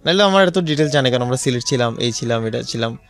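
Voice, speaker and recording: A Bangladeshi man telling a personal story conversationally, haltingly and with repeated phrases, often checking that he is followed. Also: medium pitch, animated. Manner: repetitive, halting telling with frequent check-in questions. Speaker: guest telling his life story. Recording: music behind the voice. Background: background music.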